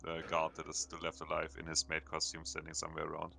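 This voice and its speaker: A man's voice: a bass voice, completely flat delivery, quick pace, gravelly, bright, forceful tone. A man running a tabletop game as dungeon master, joking and often restarting his sentences. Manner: joking, self-interrupting.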